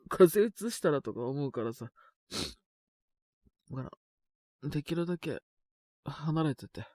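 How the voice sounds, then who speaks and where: A man playing a sick, stubborn but shy teenage boy in an intimate Japanese audio drama, speaking in a halting, casual, congested mumble. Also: on the high side, fairly bright tone, dry recording, clean studio sound, heavily acted. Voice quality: congested cold-sick voice with coughs.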